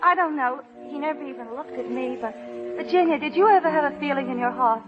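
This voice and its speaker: A woman's voice: high-pitched, fast, natural voice. An actress playing a timid, dreamy young woman who speaks hesitantly and earnestly in a 1930s radio drama. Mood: wistful. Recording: muffled old radio recording with music.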